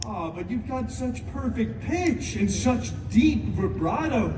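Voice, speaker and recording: High-pitched wisecracking antihero storyteller. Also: male voice, animated, noisy background, exaggerated voice. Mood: playful teasing. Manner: mock-dramatic, chatty, teasing, drawn-out delivery. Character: wisecracking, theatrical, teasing male antihero storyteller. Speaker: costumed theme park character performer. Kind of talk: live comedic stage storytelling. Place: theme park live show, heard from audience. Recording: echoey distant park show with music.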